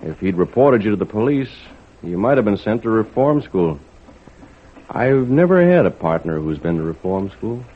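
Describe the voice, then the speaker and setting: An actor playing a steady, fair-minded, fatherly fisherman in 1940s radio style, earnest and plain-spoken. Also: fairly low pitch, moderate pace. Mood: firm, kindly. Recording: noisy, narrow-band 1940s radio recording.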